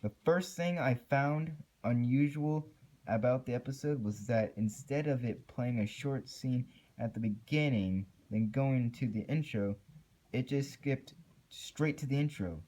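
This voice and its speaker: A man's voice: mid-range voice, unhurried, mellow, poor audio. A man giving an amateur dramatic reading of a first-person horror story. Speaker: amateur creepypasta narrator.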